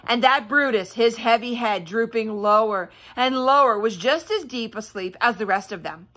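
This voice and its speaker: A woman's voice: fairly high pitch, normal speed, a bit bright. A woman reading a children's story aloud with expression, breaking off for chatty asides and questions to her young listeners. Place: indoors at home by a fireplace.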